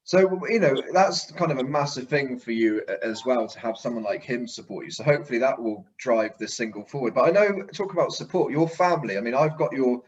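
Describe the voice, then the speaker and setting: A man's voice: medium pitch, animated, fairly quick, hardly any pauses. Slightly muffled man hosting an interview. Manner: effusive, complimentary. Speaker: interview show host. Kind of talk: conversational interviewing.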